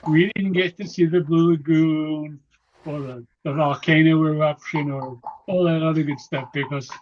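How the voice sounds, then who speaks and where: A man's voice: fairly high pitch, steady tone, moderate pace, a little hiss or hum. A man recovering from an illness who chats casually and jokingly over a video call, stumbling and restarting his words. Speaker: club member. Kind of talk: casual chat. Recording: dull lo-fi call audio.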